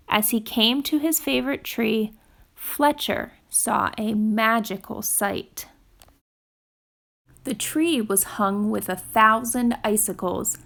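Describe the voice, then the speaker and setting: Slow, high-voiced woman reading a storybook. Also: creaky. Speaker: storytime reader. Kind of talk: expressive children's storybook narration.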